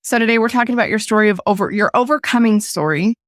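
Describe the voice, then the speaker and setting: Woman, podcast host, losing her voice, laid-back and chatty, higher voice, dry close sound. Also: fast, no room sound. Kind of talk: casual chat. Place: home room with blinds closed. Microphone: own desk microphone.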